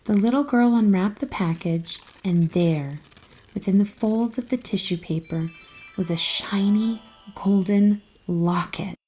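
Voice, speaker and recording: Female storybook narrator, medium pitch, breathy tone, expressive and careful, faint music behind. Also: measured pace, low-quality recording. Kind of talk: children's read-aloud narration.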